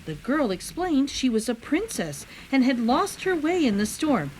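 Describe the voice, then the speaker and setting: A woman's voice: high voice, unhurried, slight background noise, poor audio. A woman narrating a children's story expressively, as a read-aloud host with a playful manner. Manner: animated, with playful asides.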